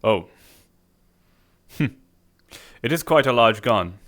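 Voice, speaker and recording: A man playing a suave businessman in a suit, speaking in a smooth, formal and faintly sly way. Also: medium pitch, lively intonation, slow pace, a bit bright. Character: suave suited businessman with groomed hair. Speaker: streamer roleplaying a character. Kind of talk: improvised social-VR roleplay.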